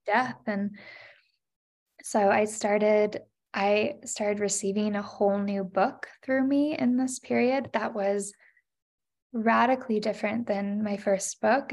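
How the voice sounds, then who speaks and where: A woman's voice: mid-range voice, darkish tone. Earnest woman telling her story. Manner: earnest, many "you know" and "like" fillers. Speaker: podcast guest. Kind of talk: reflective personal storytelling.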